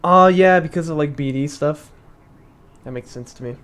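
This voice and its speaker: Young man, slightly raspy voice, somewhat high pitch, casual stream teaching, chatty, many fillers and laughs. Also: normal speed, noisy background. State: slightly raspy from long talking. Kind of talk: casual live-stream commentary.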